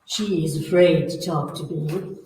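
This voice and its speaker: Woman acting a menacing, slow-speaking ghost woman, echoing. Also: sits low, fairly even intonation, warm tone, slight background noise. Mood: eerie, sinister. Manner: slow, commanding, taunting, theatrical. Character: menacing, coaxing female ghost hungry for blood and life. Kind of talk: old-time radio horror acting. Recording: echoey room, far from the mic.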